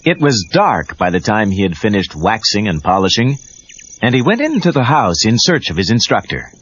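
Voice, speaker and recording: Male storyteller for a read-along tape, low voice with animated pitch, muffled cassette sound, music behind. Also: normal speed, a little hiss or hum. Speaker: narrator. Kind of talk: scripted children's read-along story narration.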